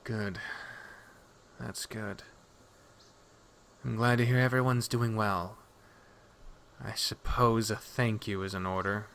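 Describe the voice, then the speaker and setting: A man's voice: a little high, sing-song, unhurried, fairly bright tone, a little hiss or hum, voice pushed up. A man voicing a fierce, cocky female beast warrior and protective mother in a fantasy story, brash and sardonic. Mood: subdued relief.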